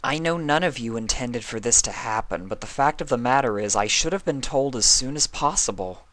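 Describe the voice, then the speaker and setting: A man's voice: medium pitch, lively intonation, fairly quick, a bit bright, no room sound, pitched above the performer's own voice, natural voice. A man voicing an earnest, anxious young female unicorn pony who is bossy but caring, speaking in an urgent, emphatic way. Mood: worried, frustrated.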